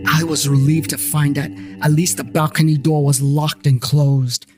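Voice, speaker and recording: A man's voice: on the high side, little pitch movement, moderate pace, over music. A man reading a scripted first-person story as its storyteller.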